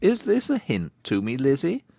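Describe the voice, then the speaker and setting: A woman voicing a dry, sardonic middle-aged father, an English country gentleman, with slow deadpan teasing in a dramatized audiobook. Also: rumbling low, gravelly, no room sound, voice pushed down, full character voice.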